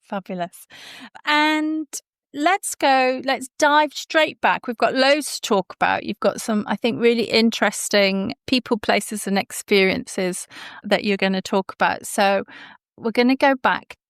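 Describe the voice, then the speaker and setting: A woman's voice: a little high, a little slow, a bit bright, dry recording, studio quality. A woman hosting a podcast interview, enthusiastic and encouraging toward her guest. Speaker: podcast host.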